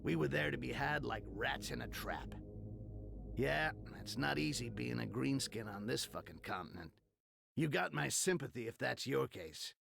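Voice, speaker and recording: An actor voicing a bitter, sardonic goblin who narrates a video game cutscene in a wry, foul-mouthed way. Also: male voice, mid-range voice, expressive, normal speed, vocal fry, a bit bright. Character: sardonic, bitter male goblin narrating his hard life. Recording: music behind the voice.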